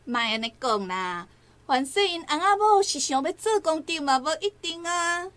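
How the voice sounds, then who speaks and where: An actress playing a teasing village gossip in a Taiwanese Hokkien radio drama, with exclamatory delivery. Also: female voice, high-pitched, expressive, moderate pace, a bit bright. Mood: gossipy, teasing.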